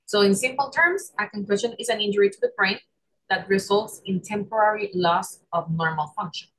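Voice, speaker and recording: Husky-voiced Puerto Rican woman lecturing. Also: mid-range voice, a little fast, a bit bright, low-quality recording. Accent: Puerto Rican Spanish. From Puerto Rico. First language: Spanish. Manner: explanatory, with frequent um and restarts. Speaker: chiropractor presenter. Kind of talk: online presentation lecture. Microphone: video call.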